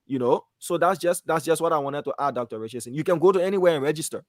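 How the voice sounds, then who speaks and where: A man with a fairly high voice lays out procedures on a talk panel. His tone is firm and explanatory, like a lecture. The sound is close and dry, with no room echo. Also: fast talker. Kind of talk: panel discussion.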